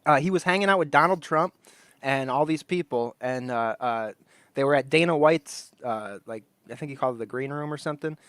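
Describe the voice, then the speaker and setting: A man's voice: medium pitch, brisk, fairly bright tone, dry recording. A man on a casual podcast, rambling through a story, laughing often and acting out the people he quotes. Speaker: podcast co-host.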